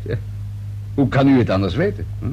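An actor playing a polite, unhurried police detective who questions in a casual, hesitant, probing way in a radio drama. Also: male voice, medium pitch, sing-song, breathy edge, highs cut off. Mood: insistent, probing. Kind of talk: Dutch radio drama acting. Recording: noisy old radio recording with hum.

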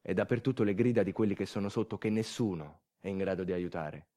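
A man performing a theatrical narrative monologue as a storyteller, moving dramatically between quiet asides and urgent delivery. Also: deep voice, quick pace. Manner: dramatic, swinging from hushed asides to loud urgency. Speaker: solo stage storyteller, actor.

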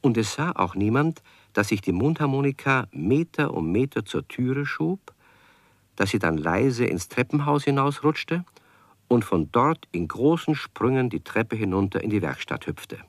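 A man's voice: mid-range voice, sing-song, unhurried, dry recording. A man narrating a children's radio play as a storyteller, in scripted storybook style. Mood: measured storytelling. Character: male storyteller of a children's kobold tale.

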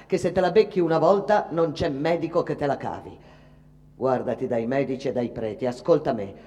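A woman's voice: low-pitched, warm tone. A poor immigrant mother, weary, scolding and superstitious. She tells her story in a rustic, emphatic, theatrical radio-play manner. The sound rings as in a large hall. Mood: bitter, resigned. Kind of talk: dramatic theatrical monologue for radio.

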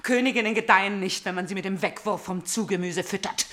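An actress playing an embittered, degraded princess in a German radio tragedy, declaiming with impassioned theatrical delivery in standard stage German. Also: female voice, medium pitch, fast talker, a bit bright, natural voice. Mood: bitter, mocking. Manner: declamatory, impassioned, with bitter outbursts and whispers.

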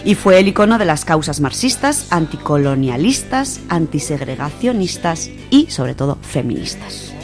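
A woman's voice: low-pitched, very animated, normal speed, few pauses, over music. A woman with an accent from Spain, presenting and reading on a literary radio segment. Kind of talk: radio talk and reading of a first-person short story.

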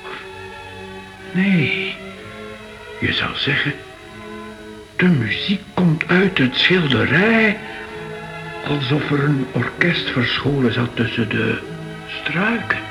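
An actor playing an emotional, awestruck art lover in a radio drama, exclamatory. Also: male voice, on the high side, expressive, slow, creaky, pauses often, music in the background, exaggerated voice, low-quality recording. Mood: bewildered.

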